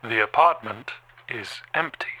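An actor playing a cold, detached security camera that reports what it sees in a halting, deliberate monotone for an audio drama. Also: male voice, medium pitch, natural voice. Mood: flat, uncertain. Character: male security camera, cold detached slow-thinking machine observer. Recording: clearly noisy background.

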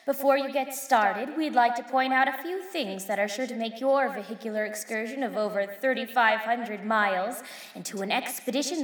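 A reverberant, echo-laden promotional recording of a female tourism announcer. The voice is high and polished, relentlessly cheerful, selling every attraction as scripted. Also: a bit bright. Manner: polished, salesy, relentlessly upbeat. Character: chirpy female welcome recording promoting bridge travel. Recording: echoey hall with long reverb.

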